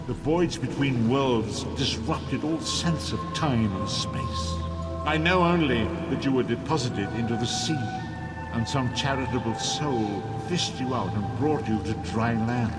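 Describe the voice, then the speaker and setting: Male actor as grave elderly mystic, portentous formal delivery, fantasy game prophet, loud music behind. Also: mid-range voice, natural voice. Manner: formal, portentous, archaic phrasing. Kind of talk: fantasy video game acting.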